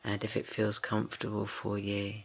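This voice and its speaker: A man guiding a yoga nidra rest for grief, speaking slowly and softly, drifting into whispers. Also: on the low side, completely flat delivery, vocal fry. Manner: slow, soft and soothing, drifting into whispers. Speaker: meditation guide. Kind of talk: guided yoga nidra meditation.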